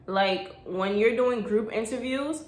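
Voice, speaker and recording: A woman's voice: on the high side, takes it slowly. Young new girl giving job tips on her phone, sassy and blunt, kinda echoey room. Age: young adult. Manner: chatty, blunt and sassy, acts out voices. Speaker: vlogger. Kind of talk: casual advice vlog. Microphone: phone camera.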